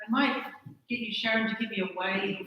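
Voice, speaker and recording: An Australian woman gives a chatty conference talk, often halting and restarting mid-sentence. Her voice sits a bit higher than most, and it echoes heavily around a large hall from a mic set well back. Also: fairly even intonation, recorded from a distance, highs cut off, slight background noise. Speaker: conference speaker.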